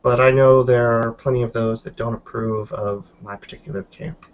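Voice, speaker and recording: A man's voice: medium pitch, fairly even intonation, normal speed, natural voice. A man roleplaying a guarded female werewolf newcomer in a tabletop game, choosing formal words with careful hesitations. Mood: guarded, evasive. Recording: dull lo-fi voice recording.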